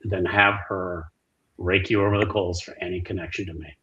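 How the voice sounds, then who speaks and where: Man playing a wary, low-voiced rogue. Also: fairly even intonation, moderate pace, sharp tone, lo-fi sound. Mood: bitter, protective. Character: wary, bitter, loyal male rogue.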